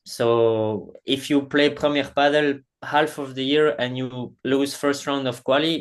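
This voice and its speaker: Belgian man explaining at easy pace. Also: mid-range voice. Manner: non-native phrasing, frequent restarts. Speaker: professional padel player, guest. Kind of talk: casual interview answers.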